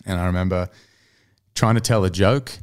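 A man's voice: deep voice, fairly even intonation, normal speed, clear, resonant tone. A man speaking as an interview guest, a singer-songwriter telling anecdotes in an easygoing, conversational way. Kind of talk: casual interview chat, anecdotes.

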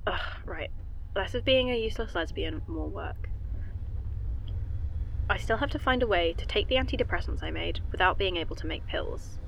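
A woman's voice: lowish voice, lively intonation, normal speed, gravelly, a little hiss or hum, poor audio. A woman playing an anxious, earnest young woman scientist in an audio drama, flustered and hesitant as she rambles. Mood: awkward musing.

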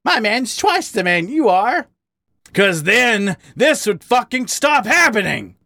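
A man voicing a bitter, volatile male badger who shouts in anguish and then turns weary. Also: sits high, big pitch swings, slow pace, gravelly, no room sound, clean studio sound, pitched above the performer's own voice, full character voice. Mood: pleading.

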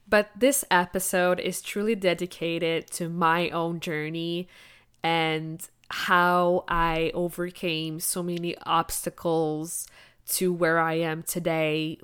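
A woman's voice: lowish voice, clean tone, no room sound, studio quality. A woman who grew up in Quebec and speaks French natively, hosting a solo podcast as a business coach in an upbeat, candid, motivational way. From Quebec, Canada. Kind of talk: casual solo podcast monologue.

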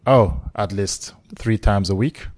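A man in his forties, born in the Congo, talks as a podcast guest over a remote line. His voice sits very low, and the sound is dry and close. He tells his story reflectively and laughs softly now and then. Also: takes it slowly, dry recording. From Democratic Republic of the Congo. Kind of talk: casual remote interview. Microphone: remote call.

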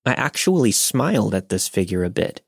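Brief: low male narrator, calm scripted reading, close dry mic. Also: moderate pace, vocal fry, husky, no echo. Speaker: podcast narrator. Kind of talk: scripted narration of a listener's story.

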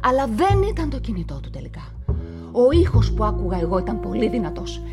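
A woman's voice: on the high side, very animated. An actress playing a shaken woman witness who stammers and hesitates, in a radio play with music behind. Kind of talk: radio drama dialogue.

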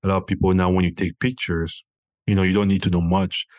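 A man's voice: low voice, little pitch movement, quick pace, a little mellow. A man from Cameroon, a company founder, talking casually as an interview guest, often restarting his sentences. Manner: hesitant, many restarts and fillers.

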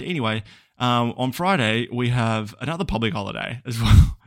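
A man's voice: fairly low pitch, no echo, clean studio sound. A young Australian man hosting a casual solo podcast, rambling and chatty with lots of ums and small laughs. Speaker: podcast host.

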